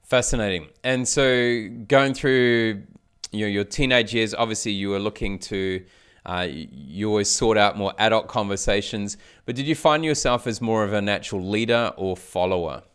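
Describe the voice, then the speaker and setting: Guy hosting a podcast, smooth lowish voice, measured questions, agrees along. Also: a bit bright. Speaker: podcast host. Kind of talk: conversational interviewing.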